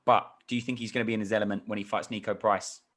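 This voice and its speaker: A man with a fairly low voice hosts a sports podcast. He talks conversationally, with a playful, hyped way of setting up each topic. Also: flat delivery, rapid-fire, a bit bright. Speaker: podcast host.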